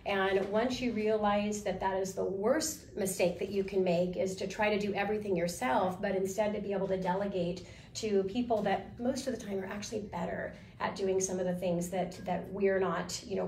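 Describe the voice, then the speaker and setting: A woman's voice: mid-range voice, a little mellow. A woman hosting a casual live interview show for real estate agents, talking in a coaching, encouraging way with long rambling sentences. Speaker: talk show host. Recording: echoey room with faint noise.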